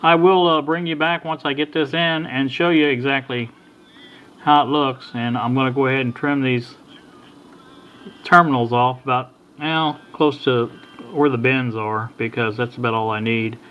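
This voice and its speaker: A middle-aged or older man casually talking through a hands-on repair at a workbench, in a halting, folksy, unhurried way. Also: medium pitch, fairly even intonation, smooth. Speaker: hobbyist radio repair vlogger. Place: indoor workbench. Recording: dull lo-fi sound with background noise.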